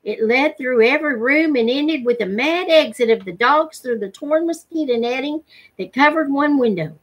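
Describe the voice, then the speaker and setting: A woman's voice: high voice, expressive, moderate pace. A middle-aged woman reading a novel aloud as a storyteller at home. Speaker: reader of a novel read-along. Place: living room at home, indoors.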